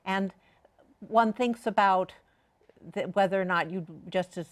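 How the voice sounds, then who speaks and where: A woman hosts a talk show. Her voice sits at a medium pitch, and she speaks conversationally to her co-host and guests. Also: a little slow. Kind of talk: conversational hosting.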